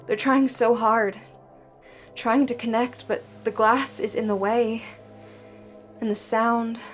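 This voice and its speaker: A woman acting in an audio drama as a defiant, idealistic new recruit, speaking in a halting, emotional, indignant way. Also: a little high, level tone. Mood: distressed.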